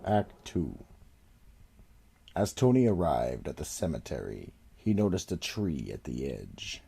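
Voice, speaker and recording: A man narrating a radio drama in a slow, dramatic way. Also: rumbling low, sing-song, creaky, mellow, slight background noise.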